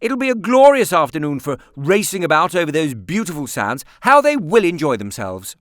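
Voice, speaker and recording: A man voicing a strict, haughty aunt in a storybook reading, with a sharp, lofty, emphatic manner. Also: on the high side, big pitch swings, normal speed, dry recording, studio quality, pitched above the performer's own voice. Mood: breezy insistence. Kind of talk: storybook character voice in audiobook reading.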